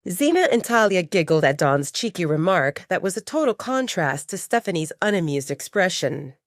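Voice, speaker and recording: A woman narrates an audio drama in a slightly low voice. Her delivery is scripted storytelling with wide, lively intonation, and the sound is close and dry. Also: normal speed, dry recording.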